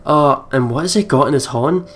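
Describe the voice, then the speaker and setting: A woman let's player reading game narration aloud and commenting on it, expressive and giggly. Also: low voice, moderate pace, a little hiss or hum. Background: game music.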